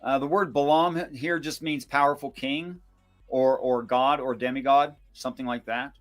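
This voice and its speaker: A man giving informal scripture commentary and reading verses aloud in a measured, explanatory way. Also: mid-range voice, steady tone, a bit bright, soft background music. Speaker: Bible discussion co-host.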